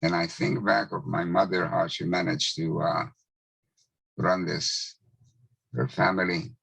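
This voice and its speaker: Gravelly deep-voiced man in his nineties. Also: flat delivery, a bit bright, no room sound, poor audio. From Czechoslovakia. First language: Hungarian. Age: 90s. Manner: slow, measured recollection with pauses. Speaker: Holocaust survivor speaker. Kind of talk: testimony talk.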